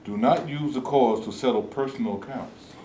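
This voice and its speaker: A man reading the part of a reverend, measured and earnest. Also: mid-range voice, dark tone, natural voice. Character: principled cautious male reverend leader. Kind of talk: staged reading. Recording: echoey room, noisy.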